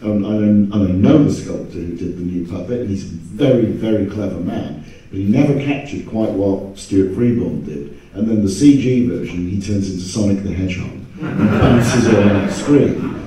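Very dark-voiced man, distant and echoey. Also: on the low side, moderate pace. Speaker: convention panelist. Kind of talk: casual storytelling on a panel. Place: stage in a hall, recorded from audience camera. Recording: very echoey hall, distant microphone.

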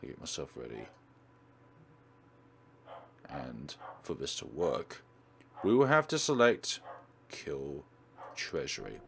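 Low-voiced, lively male let's player. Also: takes it slowly, creaky, long pauses, slight background noise. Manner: dramatic reading with exclamations. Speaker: let's play host. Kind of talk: reading a visual novel aloud with commentary.

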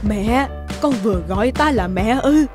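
A woman playing a gentle, doting old woman in a children's fairy-tale cartoon, speaking with tender wonder. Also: a little high, animated, normal speed, over music, lightly acted.

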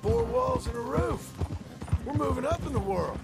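A man playing a disillusioned, impatient outlaw in a video game, with an American accent and a gravelly, sardonic delivery. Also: very high voice, huge pitch swings, moderate pace, exaggerated voice. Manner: sour, sardonic. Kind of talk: video game dramatic acting. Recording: game music behind the voice.

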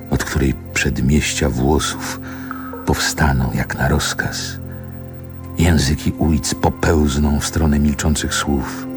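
An actor playing a wistful older man who narrates his childhood in a solemn, poetic radio drama monologue, with a gravelly, husky voice. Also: rumbling low. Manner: deliberate, solemn, poetic delivery.

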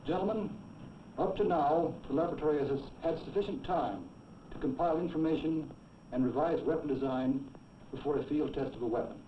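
An American man in his fifties delivering a formal, emphatic and deliberate briefing as a director. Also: fairly high pitch, noisy background. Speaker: laboratory director. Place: indoor meeting room. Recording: echoey, distant, muffled old film soundtrack.

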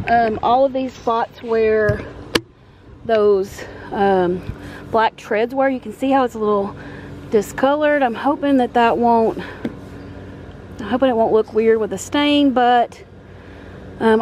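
A woman's voice: fairly high pitch, a little slow, darkish tone. Casual woman vlogger with noisy outdoor background. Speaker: home makeover vlogger. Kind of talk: casual chat to camera. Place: outdoors on a porch and wooden ramp. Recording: clear background noise, outdoor ambience.

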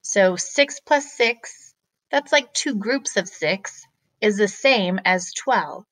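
A woman, a math teacher, explaining step by step to children in a deliberate, questioning way. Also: medium pitch, animated, fairly bright tone.

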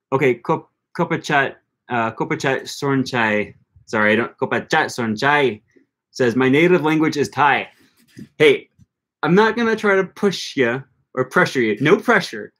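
A man's voice: mid-range voice, fairly bright tone. A young man hosting a casual livestream, reading viewer comments aloud in an upbeat way with many ums and restarts, close to a desk mic in a small room. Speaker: language-learning channel host. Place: small room with bookshelf, indoors. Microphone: desk microphone close in front.

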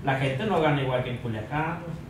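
A man's voice: medium pitch, brisk. A young man with a Sinaloa Mexican accent, hosting a casual podcast chat and dropping slang often, recorded by a far camera. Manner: slangy, says güey a lot. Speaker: podcast host. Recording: echoey room, mic far off, background hiss.

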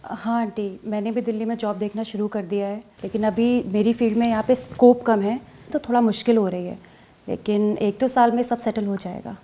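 A woman's voice: medium pitch, steady tone, a little fast, mellow, close to an everyday voice. An actress playing a polite, hesitant young woman who mixes English into her talk. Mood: earnest. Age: young adult. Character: nervous young woman meeting boyfriend's mother. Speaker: girlfriend. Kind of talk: scripted short-film acting.

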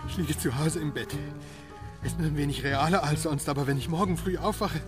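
Actor as haunted doctor over loud music. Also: male voice, on the high side, fairly quick, close to an everyday voice. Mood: panicked. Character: haunted doctor, husband, narrating his nightmare. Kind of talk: radio drama acting and first-person narration.

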